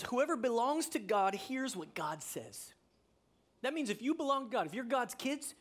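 A man, a pastor preaching a sermon, who tells stories with lively energy, his voice climbing when excited, and repeats key phrases for emphasis. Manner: animated storytelling, voice climbing high when excited.

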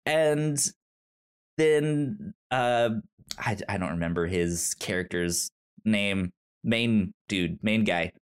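A man co-hosting a podcast, casually discussing movies in a halting way, often repeating syllables and the starts of words. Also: lowish voice, clear, resonant tone. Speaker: podcast co-host.

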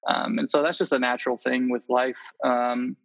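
A man's voice: medium pitch, flat delivery, moderate pace, vocal fry, a bit bright. A man speaking earnestly in a casual, conversational interview as a guest. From Indonesia and the Philippines. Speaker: interview guest, travel photographer. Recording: slightly dull, lo-fi audio.

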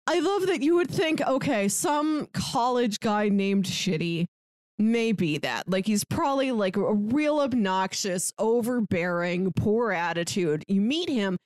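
A woman's voice: mid-range voice, lively intonation, a little slow, a little mellow, no room sound, clean studio sound. A woman co-hosting a podcast, chatting casually and enthusiastically about a book. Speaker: podcast co-host.

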